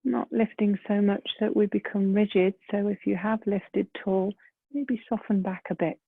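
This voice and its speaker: A woman leading a guided meditation in a gentle, soft, breathy voice, unhurried, with long pauses between phrases. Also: medium pitch, steady tone, dry recording. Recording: muffled phone-like lo-fi sound.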